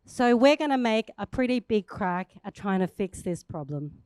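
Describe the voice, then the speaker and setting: A woman in her forties, a native Thai speaker from Thailand, giving an upbeat, chatty startup pitch on stage and laughing at herself now and then. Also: darkish tone, dry recording.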